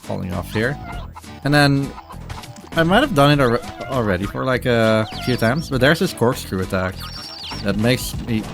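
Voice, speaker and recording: Halting male speedrunner over game music. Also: medium pitch, lively intonation, gravelly. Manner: halting, rambling, self-interrupting. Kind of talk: casual live gameplay commentary.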